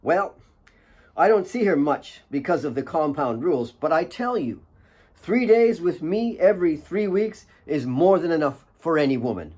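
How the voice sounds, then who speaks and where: Man doing a jolly, lively young miner. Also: a little high, normal speed, voice pushed up. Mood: cheeky, jolly. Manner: joking, cheerful. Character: jolly, cheeky wiry man of twenty-three, mine worker.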